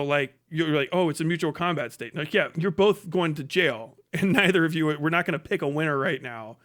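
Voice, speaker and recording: A man's voice: fairly high pitch, fairly bright tone. A man ranting and telling stories casually on a live stream, blunt and swearing freely. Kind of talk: casual, profane ranting and storytelling.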